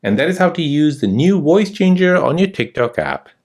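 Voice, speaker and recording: Male tutorial host, slightly high-pitched, animated step-by-step instructional read. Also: normal speed. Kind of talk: scripted step-by-step app tutorial narration.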